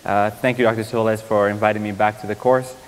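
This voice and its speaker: A young man from Iraq gives a university guest lecture, speaking in a somewhat low voice. He talks in a measured, thoughtful way with frequent ums and self-corrections. His voice rings with the long reverb of a lecture hall. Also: flat delivery. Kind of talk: academic lecture.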